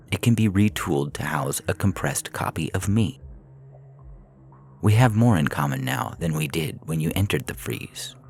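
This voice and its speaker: A man reading audio fiction as a calculating artificial intelligence, coolly persuasive and menacing, speaking in a measured, clinical, formal way. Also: low-pitched, a little mellow. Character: calculating prison AI, four years old, coolly persuasive, menacing.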